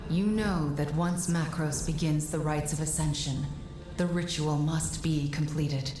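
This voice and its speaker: A grave female guide from a fantasy game, low and wrapped in echo. She speaks formally and with composure, solemn as an oracle, over music. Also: steady tone, measured pace. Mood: solemn resignation. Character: solemn, self-sacrificing mystical female guide. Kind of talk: dramatic video game cutscene acting. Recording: roomy echo, music behind.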